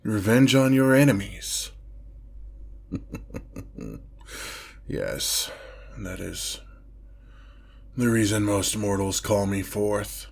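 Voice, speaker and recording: Man voicing a slow, pompous, low-voiced demon lord. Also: vocal fry, darkish tone, heavily acted. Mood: intrigued, imperious. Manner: pompous, theatrical, deliberate drawn-out delivery. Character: imperious ancient male demon lord, menacing, exasperated by mortals. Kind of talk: ASMR fantasy roleplay monologue.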